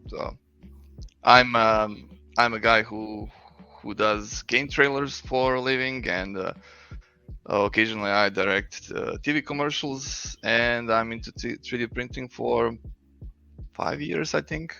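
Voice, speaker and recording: A man's voice: mid-range voice, unhurried, vocal fry, sharp tone. A man from Croatia with a Croatian accent, chatting casually as an interview guest, hesitant and joking. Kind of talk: casual unscripted chat. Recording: dull lo-fi stream audio, faint music.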